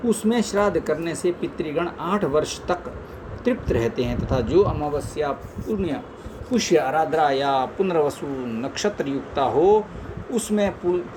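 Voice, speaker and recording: A man reading a holy text aloud in measured recitation, now and then stumbling and adding chatty asides. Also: fairly high pitch. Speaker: scripture reader. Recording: street noise behind the voice.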